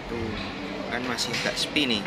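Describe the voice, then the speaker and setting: A man's voice: mid-range voice, fairly even intonation, normal speed, loud music behind the voice. A young man narrating a casual road trip vlog as he goes, recorded on a camera mic in a car and at a restaurant. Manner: easygoing, commenting as he goes. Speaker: vlogger. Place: inside a moving car and at a restaurant. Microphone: camera or phone mic. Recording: loud car and road noise behind.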